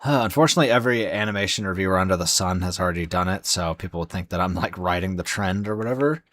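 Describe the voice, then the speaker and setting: A young man talks to his live-stream chat in a very low, hoarse voice, sleepy after hours of talking. He rambles, with many fillers and restarts. The sound is dry, with no room echo. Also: very fast, a bit bright.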